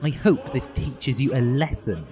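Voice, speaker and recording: A man's voice: mid-range voice, lively intonation, unhurried, darkish tone, natural voice. A man voicing a smug, preachy, bookish teenage schoolgirl in a naggy, prim manner for a comic story.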